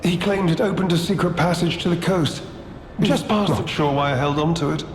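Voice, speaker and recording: A man playing a higher-voiced weary wanderer, echoing. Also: a little fast, natural voice. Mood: reflective. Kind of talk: video game character dialogue. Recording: echoey reverberant space with clear noise.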